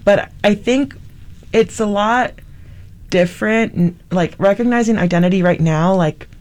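A woman's voice: medium pitch, a little slow, a little mellow. A woman from Hawaii speaking as a panel guest, casually reflecting on personal experience.

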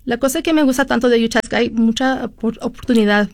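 A middle-aged woman from California speaks in a fairly high voice, close and dry on the mic. She tells her story in a chatty, conversational way, with lots of fillers and quick switches into English. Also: no echo. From California, USA. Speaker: interview guest, lawyer.

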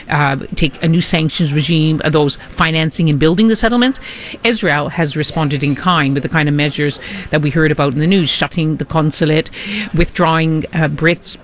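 A male correspondent with a slightly raised pitch, explaining the news in a measured way. He keeps restarting his sentences as he works through each point. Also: fairly bright tone. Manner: fluent, measured, with frequent restarts. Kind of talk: live news analysis.

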